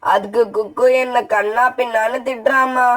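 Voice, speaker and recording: A woman as a bossy little boy bird, high-voiced. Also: fairly even intonation, normal speed, fairly bright tone, close to an everyday voice. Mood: whining, spiteful. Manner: childish, petulant. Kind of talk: children's cartoon story acting.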